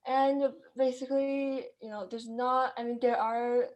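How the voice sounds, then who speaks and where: A woman's voice: high voice, level tone, measured pace, a little mellow. A young woman from China, raised in Kenya, answering interview questions in a casual, chatty way with many fillers and restarts. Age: young adult. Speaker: guest entrepreneur.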